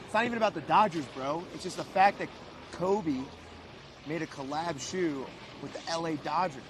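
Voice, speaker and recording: A man hosting a casual livestream, loud and hyped as he talks straight to viewers and chats with guests. His voice sits a bit higher than most men's. Clear traffic noise from the street runs behind him. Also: moderate pace. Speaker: host.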